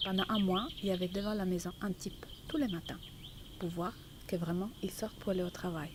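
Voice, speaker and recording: A woman's voice: on the low side, steady tone, brisk. Middle-aged woman, birdsong behind. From Italy. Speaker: interviewee giving testimony. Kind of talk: conversational personal testimony. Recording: clear outdoor noise with birdsong. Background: birdsong and ambience.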